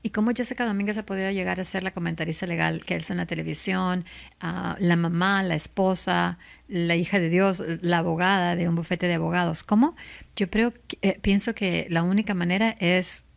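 A middle-aged woman, a lawyer and legal commentator, speaking as an interview guest in an animated, heartfelt way, laughing often and repeating words for emphasis. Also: on the low side, fairly quick, gravelly. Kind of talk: faith-based interview answer.

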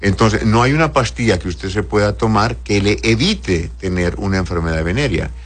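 A man's voice: fairly low pitch, lively intonation, normal speed. A man speaking as a doctor hosting an advice show, conversational and avuncular, answering listeners with endearments and frequent checking tags. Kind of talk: conversational medical advice, reading messages aloud.

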